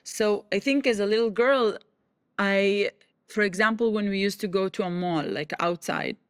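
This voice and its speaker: A woman's voice: medium pitch, smooth, dry recording. Israeli comedian lady in an interview, stops and restarts a lot, dry close sound. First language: Hebrew. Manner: halting, many restarts, acts out quoted voices. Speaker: guest comedian. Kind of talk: conversational interview.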